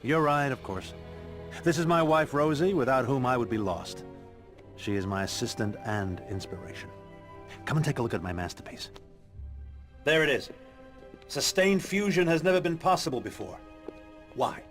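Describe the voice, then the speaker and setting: A man voices a learned, genial scientist of middle age in a video game. He lectures proudly with a very animated pitch, faint music underneath. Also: moderate pace, pauses often, lightly acted. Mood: proud, enthusiastic. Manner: lecturing, expressive.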